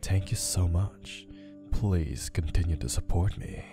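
A man speaking softly as an ASMR channel host, addressing his supporters. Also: low-pitched, unhurried, over music. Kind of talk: soft-spoken ASMR sign-off.

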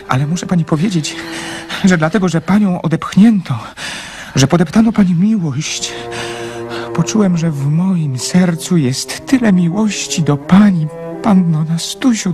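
A man's voice: high voice, a little mellow. Stammering, lovesick, overwrought young man. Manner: stammering, repetitive, fervent pleading. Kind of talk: radio play acting. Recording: radio drama with music underneath.

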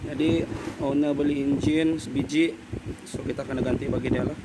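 A man's voice: mid-range voice, fairly even intonation, moderate pace. A man in his thirties with a Malaysian accent, explaining a car air-conditioning repair step by step in a casual vlog, recorded on a handheld phone in a workshop. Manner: plain, step-by-step explaining with fillers. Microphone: handheld phone camera. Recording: noisy workshop background.

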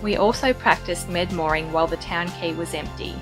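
A woman reading a calm, matter-of-fact voice-over narration for a sailing vlog. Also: on the low side, a little fast. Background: background music.

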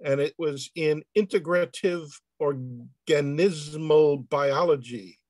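A man with a fairly high voice reads a scientific paper aloud. He is on a video call, and the sound is dry with little room in it. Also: slow pace, a little mellow, no echo. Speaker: dramatic reader. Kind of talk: reading a research paper aloud.

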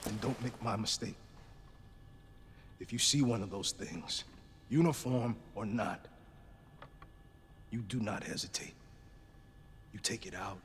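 An actor playing a wounded middle-aged male police lieutenant, gruff, weary and commanding. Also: lowish voice, a little slow, pauses often, lightly acted. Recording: reverberant game audio with faint noise.

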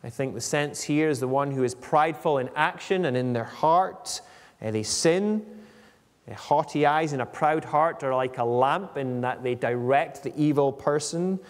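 A man in his forties delivering an expository sermon in a measured, explanatory manner, reading out scripture and commenting on it. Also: a little high. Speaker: preacher. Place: indoor church hall at a lectern. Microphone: microphone on a stand at lectern. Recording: echoey church hall, long reverb.

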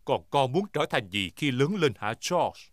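A man voicing a big, jolly daddy pig telling stories in a Vietnamese cartoon dub, playful and animated. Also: mid-range voice, normal speed, dry recording, clean studio sound, close to an everyday voice. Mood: fatherly.

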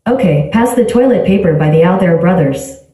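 A synthetic woman's voice from a smart speaker, giving even, polite, clipped replies. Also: fairly low pitch, fairly quick. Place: small tiled bathroom. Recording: echoey bathroom, heard from a distance.

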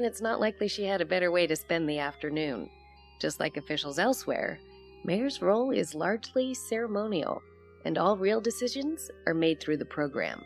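Low-voiced, composed female reporter. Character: measured, inquisitive female reporter narrating an investigation. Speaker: investigative reporter narrator. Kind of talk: scripted audio drama narration.

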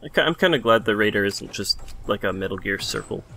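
A man's voice: fairly low pitch, expressive, quick pace, sharp tone, soft background music. A man co-commentating on gameplay in casual chat, with an inquisitive way of talking.

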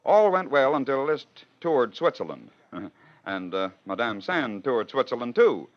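A man's voice: mid-range voice, big pitch swings, unhurried. A man hosting and narrating in scripted 1940s radio style, with a rhetorical storytelling manner. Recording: dull old radio broadcast.

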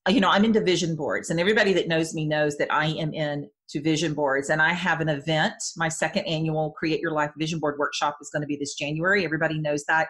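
A woman's voice: sits low, fairly bright tone, breathy edge. Chatty Southern American woman host. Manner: chatty, enthusiastic, quick asides. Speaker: podcast host. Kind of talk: casual interview chat.

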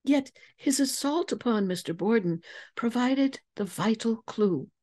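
A woman's voice: fairly high pitch, expressive, unhurried, darkish tone, voice pushed up. A woman voicing a confident, shrewd woman detective in a declamatory storybook reading, self-assured in her explanations. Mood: assured, triumphant.

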